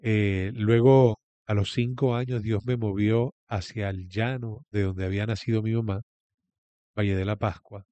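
Venezuelan guy talking faith, mellow darker voice, repeats phrases for emphasis, bit muffled but dry. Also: lowish voice, fairly even intonation, measured pace, no echo. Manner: emphatic repetition of key phrases. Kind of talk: reflective religious talk.

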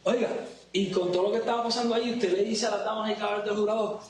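A man's voice: sits high, fairly even intonation, rapid-fire. A male defense lawyer cross-examining a witness in court with pressing, leading questions. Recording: distant courtroom mic, echoey, crowd noise.

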